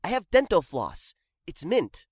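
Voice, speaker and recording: A woman's voice: lowish voice, wildly swooping pitch, measured pace, no room sound, studio quality, voice pushed up, full character voice. A woman voicing an eager, anxious young boy in a children's storybook, earnest and exclamatory. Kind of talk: children's storybook read-aloud.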